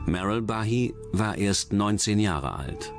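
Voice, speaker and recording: A man narrates a true-crime documentary from a script. His voice sits on the low side, and music plays clearly underneath it. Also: expressive, slow.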